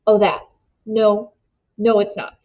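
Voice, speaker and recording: A woman's voice: mid-range voice, fairly even intonation, slow pace, phone-quality sound, natural voice. A woman voicing an easygoing but guarded, earnest seventeen-year-old boy in a storybook, informal and a little hesitant. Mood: anxious.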